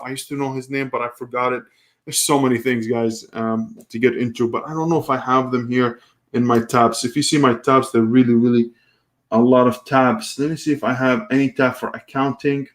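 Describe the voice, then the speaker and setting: A man's voice: medium pitch, fairly bright tone. A man in his thirties, originally from Kuwait, hosting a live question-and-answer stream and talking loosely, with frequent restarts as he thinks aloud. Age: 30s. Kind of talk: casual live stream Q&A, reading viewer comments.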